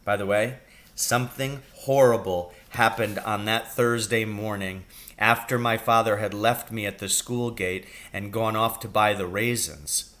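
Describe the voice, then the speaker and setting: A man reading a children's story aloud as a teacher would, with expressive narration. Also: on the low side, unhurried.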